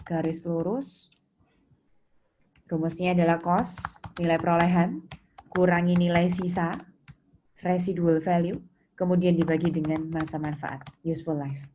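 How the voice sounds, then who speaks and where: A woman's voice: on the low side, a little mellow. A woman with an Indonesian accent giving an interactive lecture as a teacher, explaining points didactically and putting questions to students. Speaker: accounting lecturer. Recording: noisy, with keyboard clicks and typing.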